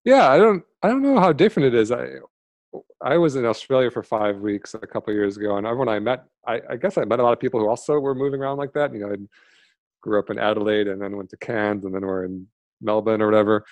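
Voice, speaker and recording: A fast-talking American man with a low-set voice, chatting loosely on a podcast and tripping over fillers and restarts. The sound is dry and close, with no room in it. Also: a little mellow, no echo. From Michigan, USA. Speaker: podcast guest. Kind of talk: casual interview talk.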